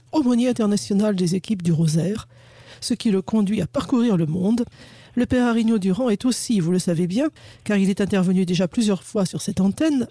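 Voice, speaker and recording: Lady radio host, formal and measured, mellow voice, dry close sound. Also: medium pitch, dry recording. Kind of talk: radio interview.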